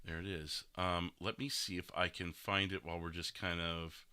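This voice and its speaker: A man's voice: sits low, level tone, moderate pace, pushed and bright, slight background noise. A man hosting a podcast in casual, conversational chat. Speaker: podcast host.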